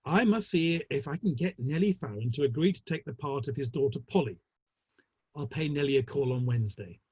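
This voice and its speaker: A man playing a measured, businesslike Victorian theatre manager with a dry, considered manner, in a dramatic reading. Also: medium pitch, lively intonation, darkish tone, husky. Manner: dry, considered, tactfully critical. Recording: dull, roomy online-call audio.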